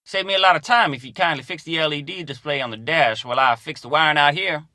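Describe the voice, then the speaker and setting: An actor playing a folksy, drawling mechanic with a Southern US accent in a video game. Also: male voice, fairly high pitch, quick pace, sharp tone, dry recording, studio quality. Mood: instructive. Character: folksy drawling male mechanic, gruff but friendly. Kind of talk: video game character dialogue.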